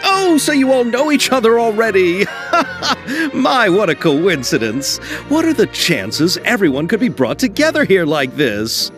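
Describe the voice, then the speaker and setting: A man's voice: high-pitched, sing-song, unhurried, music in the background. A man voicing an eager, apologetic film director in a video game, with a polite, effusive and hesitant manner. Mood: delighted, eager.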